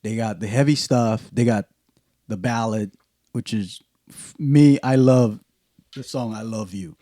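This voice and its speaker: A man with an Indonesian accent hosting a casual podcast chat, talking in halting starts with many restarts. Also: lowish voice, mellow, no echo, clean studio sound. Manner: halting, many restarts and fillers, laughs often. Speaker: podcast host.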